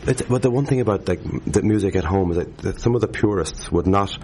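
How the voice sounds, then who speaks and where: A man with a very low voice talks quickly about tradition and music in a casual interview. He rambles and restarts, carried along by his own enthusiasm. Faint background noise hums behind him. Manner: animated, rambling, many restarts. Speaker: harpist, interview guest.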